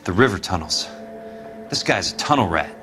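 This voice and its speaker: A man's voice: low-pitched, very animated, moderate pace, background noise. A man playing a hard-boiled 1940s investigator in a detective game, grim and urgent. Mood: grim shock.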